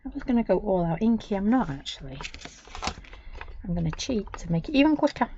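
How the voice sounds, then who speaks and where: A woman with a slightly croaky voice, chattily talking viewers through a live craft demonstration. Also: medium pitch, very animated, fairly quick. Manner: chatty, talks viewers through each step. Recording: dull lo-fi stream with noise.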